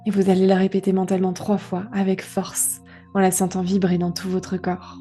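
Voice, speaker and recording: A woman guiding a relaxation meditation, giving gentle, unhurried instructions with pauses. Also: mid-range voice, completely flat delivery, faint music behind. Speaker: yoga nidra guide.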